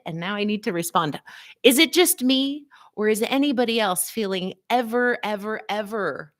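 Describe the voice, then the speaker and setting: A middle-aged woman hosting a casual live stream, playful and chatty, greeting viewers by name with high swoops in her voice. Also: no room sound, studio quality. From United States. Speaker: livestream host, physical therapist. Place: small office.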